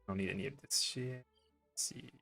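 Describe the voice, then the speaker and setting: A man's voice: mid-range voice, normal speed, vocal fry, pushed and bright, soft background music. A young man from Quebec with a Quebec French accent, casually chatting through a livestream and thinking aloud with lots of fillers. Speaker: game streamer.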